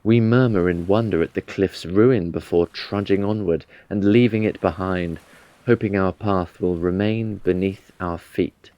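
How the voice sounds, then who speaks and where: A man reciting poetry in a measured, reflective way. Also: deep voice, dry recording, highs cut off. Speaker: poetry reader.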